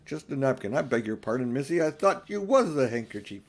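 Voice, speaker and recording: A huge storybook giant, as a male reader plays him, simple and obliging. He speaks in homely, rough phrases with deferential good manners, at a middling pitch. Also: very animated, brisk. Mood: puffed, apologetic. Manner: courteous, colloquial and deferential. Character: huge polite slow-witted giant, rough-spoken and obliging.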